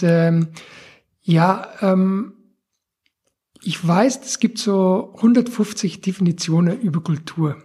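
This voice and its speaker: A man in his forties from Belgium with a Belgian accent, answering interview questions in a halting way, often searching for words. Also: high-pitched, darkish tone, breathy edge. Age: 40s. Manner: halting, searching for words with many fillers and non-native grammar. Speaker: interview guest, culture centre director.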